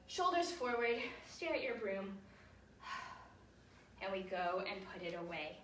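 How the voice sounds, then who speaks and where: A woman teaching a ballet lesson to young children in an encouraging, animated way, explaining things simply and checking in with questions. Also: medium pitch, unhurried. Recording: roomy echo, distant mic, faint noise.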